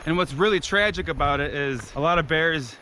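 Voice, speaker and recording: A loud, chatty young man narrates his hike into a camera mic out on a forest trail, swearing freely as he goes. His voice sits a little higher than most men's, with faint outdoor noise around him. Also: expressive, fairly quick. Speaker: vlogger hiking a trail. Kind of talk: casual hiking vlog chat. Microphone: GoPro camera.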